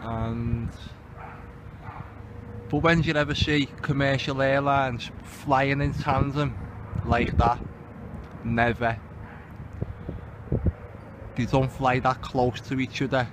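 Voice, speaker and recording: A Scouse man talks off the cuff to his viewers in a rambling, suspicious, sweary way, at a mid-range pitch. He is recorded outdoors on a handheld device, with very loud wind and traffic noise all over his voice. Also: steady tone, slow pace, pauses often. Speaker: host of an amateur video channel. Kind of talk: casual off-the-cuff vlog commentary. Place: outdoors in the city. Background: loud wind and vehicle noise.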